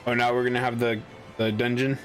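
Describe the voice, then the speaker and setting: A man's voice: medium pitch, level tone, a little fast, soft background music. A man casually musing aloud while playing a video game. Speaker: game streamer. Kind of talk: let's play commentary. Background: game audio.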